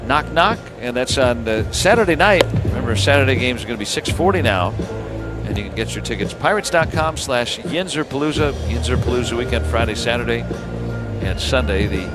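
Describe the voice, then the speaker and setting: An American man doing live radio play-by-play of a baseball game in short, measured phrases with asides between pitches. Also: lively intonation, over music. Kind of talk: live sports commentary with ad-libbed asides.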